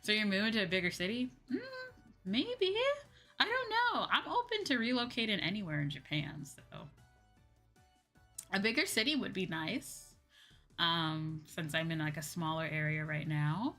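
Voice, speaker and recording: Bright-voiced American woman livestreamer. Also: mid-range voice, lively intonation, moderate pace. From Midwestern United States. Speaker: VTuber livestreamer. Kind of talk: casual livestream chat, reading and answering viewer comments. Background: music.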